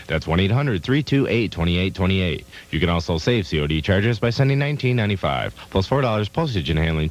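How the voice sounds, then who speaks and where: Deep voiced guy reading out a phone number and address, deliberate. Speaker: commercial announcer. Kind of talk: reading ordering details.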